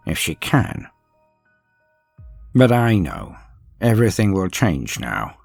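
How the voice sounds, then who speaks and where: A man's voice: low voice, very animated, gravelly, no room sound, faint music behind, pitched below the performer's own voice, exaggerated voice. A man playing a grim, proud, dryly sardonic inquisitor, narrating a battle in a theatrical, brooding way as a first-person audio drama. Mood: urgent, grave. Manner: theatrical, brooding, with weighty pauses.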